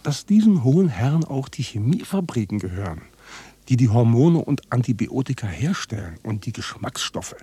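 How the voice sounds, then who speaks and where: A bitter livestock farmer, really raspy low-key dark voice, blunt and sardonic, dodgy, radio play style. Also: male voice, very animated, normal speed, no echo. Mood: bitter, indignant. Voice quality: husky. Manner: blunt, sardonic, evasive, coarse. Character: bitter, evasive male contract calf fattener who knows the trade. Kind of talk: naturalistic radio drama dialogue.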